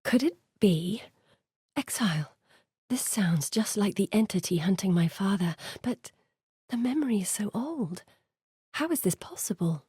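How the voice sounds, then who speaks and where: A woman's voice: medium pitch, animated, a little slow, mellow, breathy edge, dry recording, studio quality. An actress playing an earnest, curious woman scholar in a video game, articulate, thoughtful and dramatic in delivery. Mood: puzzled, uneasy. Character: earnest, curious woman scholar guiding an exile through memories. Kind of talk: video game character voice acting.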